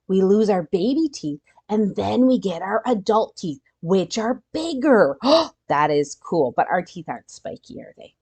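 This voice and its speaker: A woman hosting a children's storytime, reading a picture book aloud expressively, explaining words simply and asking her young listeners questions. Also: on the low side. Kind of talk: animated read-aloud with asides to young listeners.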